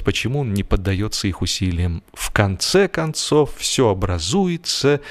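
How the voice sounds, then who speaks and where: A male audiobook narrator with a mid-pitched voice reads comic literary prose. His delivery is expressive and measured, and he places his pauses deliberately. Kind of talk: scripted humorous literary narration.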